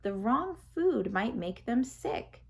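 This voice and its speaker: A woman voicing a stern, excitable male zookeeper in a children's story, emphatic and scolding. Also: fairly high pitch, takes it slowly, vocal fry, slightly rough, full character voice. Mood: urgent scolding.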